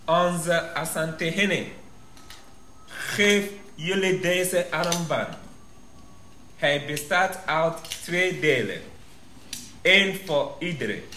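A man's voice: on the high side, drawn-out words, pauses often, sharp tone, full character voice. An actor playing the solemn spokesman of an Ashanti king in a radio drama, formal and ceremonious. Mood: solemn, proclaiming. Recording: roomy sound with faint noise.

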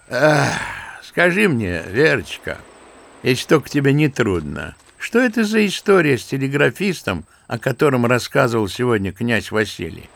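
A creaky old sentimental general. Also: male voice, medium pitch, a bit bright, heavily acted. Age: older. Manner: fervent, musing, fond and paternal. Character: old kindly sentimental general, grandfather figure. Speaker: actor in audio play. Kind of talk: theatrical radio play acting.

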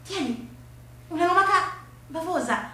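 An eager, playful teenage boy, acted out by a woman in a stage story. The voice is high and bright, pressing and excited, and rings in a very echoey room. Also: measured pace, full character voice. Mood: eager, insistent. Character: eager, playful teenage gardener boy offering creature gifts. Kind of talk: acted dialogue in narrative theatre. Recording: very echoey room, faint noise.

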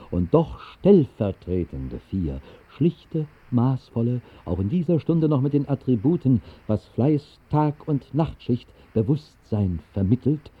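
An actor playing a whimsical night-owl who narrates an absurd dream in a radio play, with ornate, mock-solemn phrasing. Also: male voice, medium pitch, breathy edge, full character voice. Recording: muffled, thin 1960s radio recording.

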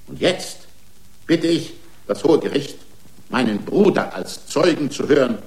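A man's voice: mid-range voice, big pitch swings, measured pace, darkish tone. An actor playing an indignant, argumentative racing driver who is part man, part machine, pleading his own case in a German radio play. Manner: argumentative, rhetorical, aggrieved. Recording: roomy studio courtroom sound.

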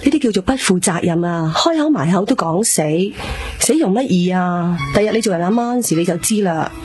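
A woman's voice: fairly low pitch, lively intonation, a little fast, a little mellow, soft background music, close to an everyday voice. An actress playing an anxious middle-aged mother who nags and scolds her daughter in Cantonese in a radio drama. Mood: anxious scolding. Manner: worried, scolding, nagging.

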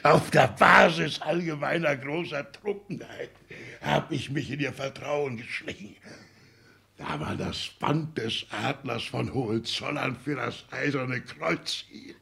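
An actor playing an exultant, conspiratorial schemer in a 1960s German radio drama, gravelly and theatrical, moving between hushed whispers and outbursts. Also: male voice, medium pitch, unhurried, exaggerated voice. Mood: exultant, gloating. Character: exultant, conspiratorial schemer gloating over his conquest. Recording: 1960s studio radio recording.

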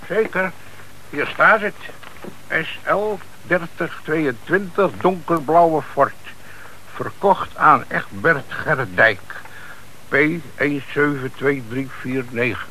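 A man's voice: fairly high pitch, takes it slowly, bright, forceful tone. An actor in a Dutch radio play playing a shifty small-time crook who deals in cars, speaking hesitant, slangy street talk and hedging his answers. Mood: grumbling, searching. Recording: noisy old radio play recording.

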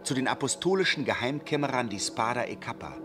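A man narrates a 1960s radio play in a dry, ironic tone. His pitch is mid-range and steady. Faint music runs beneath. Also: quick pace. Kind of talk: scripted narration.

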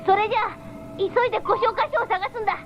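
A woman playing a calm, commanding psychic baby boy in a 1970s radio drama, giving decisive orders. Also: very high-pitched, fast, slight background noise. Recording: dull lo-fi old radio with music.

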